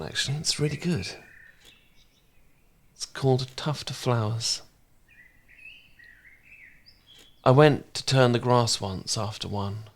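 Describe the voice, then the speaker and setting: Casting: male actor as easygoing, fond housemate for ASMR romance audio; lowish voice, soft, unhurried, reassuring. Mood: quietly appreciative, then tender poetry reading. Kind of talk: intimate ASMR romance roleplay.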